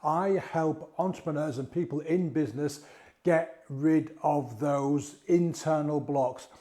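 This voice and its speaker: A middle-aged man with a croaky voice from a cold, talking casually to camera about confidence. His voice is slightly high for a man but mellow and warm. He talks in a chatty way, asking rhetorical questions and restarting his phrases. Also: slow. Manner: conversational, asks rhetorical questions, repeats and restarts words. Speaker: mindset coach and hypnotist. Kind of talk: casual coaching talk to camera.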